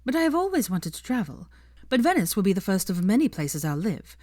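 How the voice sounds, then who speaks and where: Woman voicing a confident, lively young Italian woman. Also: medium pitch, a little fast, no echo, clean studio sound, voice pushed up. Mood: excited.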